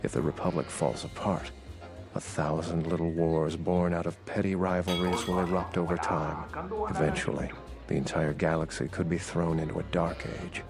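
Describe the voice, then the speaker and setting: A man voicing an earnest, guarded political insider in a video game, measured and formal. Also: low-pitched, level tone, music in the background. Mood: grave, earnest. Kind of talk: video game character dialogue.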